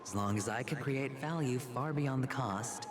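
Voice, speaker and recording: A man's voice: medium pitch, normal speed, lightly acted. A man voicing a cool, sardonic young gambler with self-assured delivery in a dramatic video game style. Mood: confident. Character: cool, smug young male high-stakes gambler. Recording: loud game music behind the voice.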